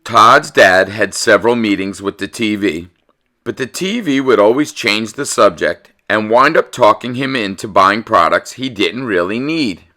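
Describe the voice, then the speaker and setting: Animated, bright-voiced man reading a storybook. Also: lowish voice, a little slow. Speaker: read-aloud host. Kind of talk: expressive children's picture book narration.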